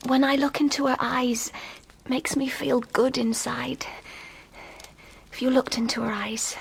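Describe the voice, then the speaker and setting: An actress playing an ailing, pious, stubborn young girl in a radio drama, breathy and dropping into whispers, intense and childlike. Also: high voice, level tone, measured pace, heavily acted, low-quality recording. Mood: fervent, dreamy.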